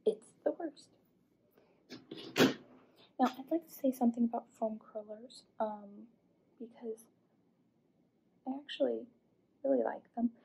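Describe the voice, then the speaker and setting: A woman in her twenties from the American Midwest, chatting casually through a vintage hair tutorial in a small room, with low whispery asides and quiet audio. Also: unhurried, vocal fry, long pauses, slight background noise, poor audio. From Midwest US, Illinois.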